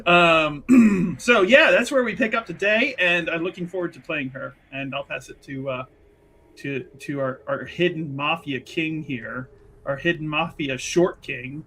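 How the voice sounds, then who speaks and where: A man gives a casual game recap in a halting, wryly understated way. His voice is on the high side and bright, with faint noise behind it. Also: low-quality recording. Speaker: player on an actual play show. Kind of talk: casual recap and character introduction.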